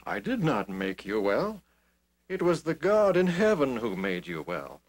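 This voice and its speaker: A man's voice: medium pitch, huge pitch swings, measured pace, voice pushed up. Man acting a stern, emphatic prophet. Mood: firm. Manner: emphatic, declamatory pronouncement. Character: stern, solemn male prophet of God.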